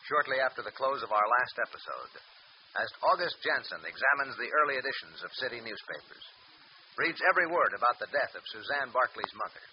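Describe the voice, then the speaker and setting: A man giving measured, scripted narration for a radio serial. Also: mid-range voice, animated. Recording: dull old radio broadcast with faint hiss.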